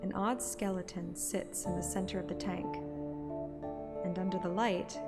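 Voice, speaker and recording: A woman's voice: lowish voice, normal speed, lightly acted. An actress playing a playful, plucky young woman hunter, narrating and voicing a first-person game story expressively. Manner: expressive, teasing. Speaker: player-character narrator. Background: background music.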